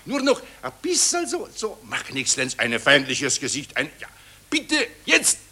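An actor in a 1940s German radio play playing a fussy man who gives halting, repetitive posing directions. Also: on the high side, wildly swooping pitch, sharp tone, full character voice. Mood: fussy, obliging. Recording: vintage 1940s radio recording.

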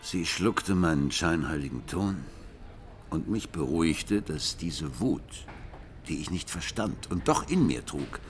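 An actor playing a brooding, jealous husband who recounts his marriage in a measured, reflective way in a radio play. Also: very deep voice, animated, heavily acted. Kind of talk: radio play narration and dialogue. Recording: music behind the voice.

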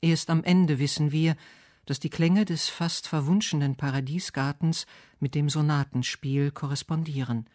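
A man reading literary narration and verse for a radio essay with measured, deliberate phrasing. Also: a little high, a little mellow, no echo, clean studio sound.

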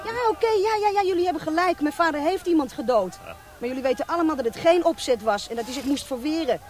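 Earnest, high-voiced young girl. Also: expressive, fast. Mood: proud, indignant. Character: earnest, proud thirteen-year-old girl, slandered prisoner's daughter. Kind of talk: radio drama acting.

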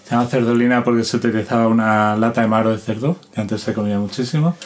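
A man's voice: on the low side, steady tone, fast talker. A man in his fifties with an accent from Spain explains his craft casually and demonstratively, adding short tag questions. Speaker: instrument maker being interviewed. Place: instrument workshop. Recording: noisy on-location interview recording.

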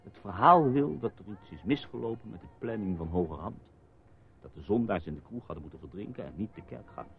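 A man's voice: fairly low pitch, animated, fairly quick, creaky. A man acting in a radio drama as a reporter husband, evasive and teasing, with a wry, placating manner. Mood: teasing, storytelling. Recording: dull, muffled radio play recording.

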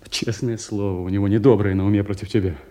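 An actor playing a resentful, scheming young bastard son who feigns concern, in brooding, insinuating stage diction for a radio drama. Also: male voice, fairly low pitch, steady tone, quick pace, mellow, lightly acted. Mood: urgent, conspiratorial. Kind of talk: theatrical radio drama acting.

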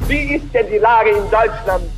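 A male politician declaims a fiery opposition speech in an accusing, rhetorical manner. His voice sits extremely high for a man, with faint music behind it. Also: normal speed, low-quality recording.

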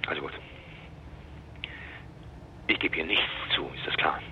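Blackmailed politician, radio crime drama: extremely low, piercingly sharp, curt, haughty. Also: male voice, unhurried, dull audio, a little hiss or hum, heavily acted. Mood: guarded, threatening. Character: menacing, guarded male politician being blackmailed.

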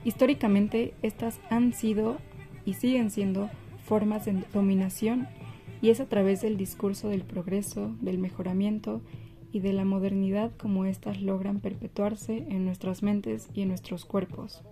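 A woman reading a measured, solemn spoken-word manifesto over faint music. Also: mid-range voice, fairly even intonation, dark tone.

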